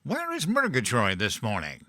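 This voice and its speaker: Man playing a bright, pompous, oblivious President. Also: mid-range voice, huge pitch swings, moderate pace, no room sound, studio quality. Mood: puzzled. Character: cheerfully oblivious, dim, pompous male US President. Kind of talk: comedic audio drama acting.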